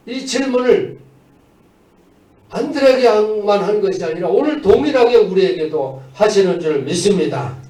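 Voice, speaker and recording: A man's voice: high-pitched, warm tone. A man preaching a Sunday church sermon as a pastor, exhorting his listeners in a manner that moves between emphatic proclamation and conversational asides. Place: indoors at a church service. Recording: echoey church room, distant mic.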